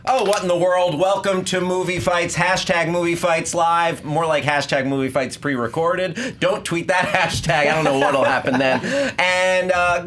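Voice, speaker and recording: A man hosting a movie debate show in a casual, comedic, slangy way, often halting and goofy. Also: on the high side, sharp tone, slight background noise.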